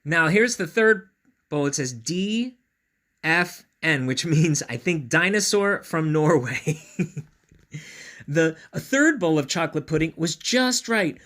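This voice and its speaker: A man reads a children's story in a very animated, unhurried way. He is playful and knowing, pausing for effect and dropping into chatty asides. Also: on the high side. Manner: playful, knowing, dramatic pauses. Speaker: storyteller, school read-aloud host. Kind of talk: animated children's picture-book read-aloud with asides.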